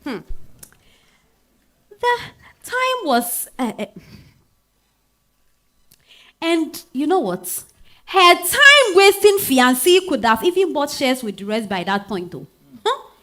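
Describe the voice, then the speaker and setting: High-pitched, anguished Ghanaian wife, played by an actress. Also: female voice, big pitch swings, a bit bright, exaggerated voice. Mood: weary, lamenting. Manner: theatrical, exclamatory, with drawn-out sighs and interjections. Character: bitter, grieving betrayed wife confronting her husband. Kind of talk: dramatic monologue in a staged reading.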